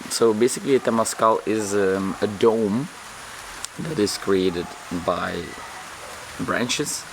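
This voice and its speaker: A man vlogging calmly and earnestly, his voice slightly hoarse, often repeating words as he searches for them. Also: deep voice, background noise. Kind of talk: reflective vlog monologue.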